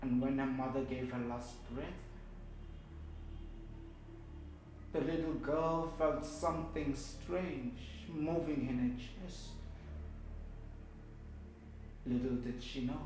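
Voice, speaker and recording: An actor with a South African accent playing a grieving, bitter man who delivers a halting, emotive funeral eulogy as a theatrical monologue. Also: on the high side, lively intonation, slight background noise. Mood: subdued, sorrowful. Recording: very echoey room, music behind.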